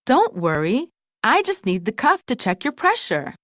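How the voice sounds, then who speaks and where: A woman's voice: a little high, huge pitch swings, moderate pace, fairly bright tone, no room sound, clean studio sound, voice pushed up. A woman voicing a caring, confident young girl who doctors toys in a storybook, explaining things reassuringly.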